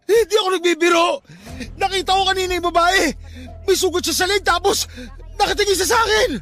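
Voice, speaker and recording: A man's voice: extremely high pitch, fairly even intonation, quick pace, sharp tone, faint music behind, heavily acted. An actor playing a frightened, stubborn young man haunted by a ghost in a radio drama, defensive and heated. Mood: panicked.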